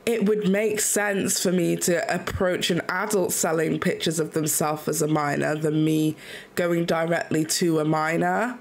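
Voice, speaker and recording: A woman's voice: lowish voice, little pitch movement, a little slow. A woman interviewing a young guest, a little sick and nasal, earnest and supportive with frequent ums. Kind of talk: video interview.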